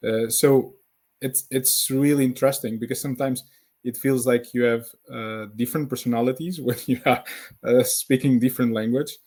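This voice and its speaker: A man in his thirties from Portugal with a Portuguese accent, a native Portuguese speaker talking English as a podcast guest, halting speech full of fillers and repeated words. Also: medium pitch, steady tone, darkish tone. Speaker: guest, founder of a sales community. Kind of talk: conversational podcast interview.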